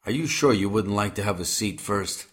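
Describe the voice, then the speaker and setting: A very deep-voiced police detective, acted by a man in a radio drama. He is sombre and formal, picking his words with hesitant care. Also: normal speed, lightly acted. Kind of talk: audio drama acting.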